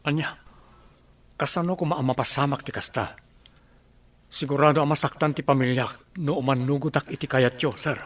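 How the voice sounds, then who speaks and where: A man's voice: mid-range voice, fairly even intonation, normal speed, long pauses, fairly bright tone, no room sound, close to an everyday voice. Man playing an earnest, conflicted young father. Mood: distressed. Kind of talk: Ilocano radio drama acting.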